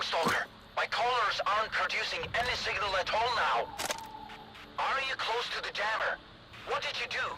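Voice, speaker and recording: An actor playing a fussy, ambitious scientist in a video game, speaking in an exasperated, precise manner. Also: male voice, extremely high pitch, noisy background. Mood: frantic, angry. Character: fussy, ambitious male scientist obsessed with his research. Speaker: quest-giving scientist.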